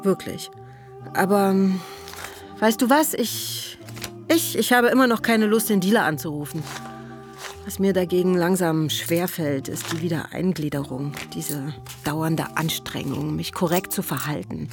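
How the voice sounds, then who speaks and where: A woman acting a blunt, restless actress in recovery in a radio drama, speaking in a halting way. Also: fairly low pitch, over music.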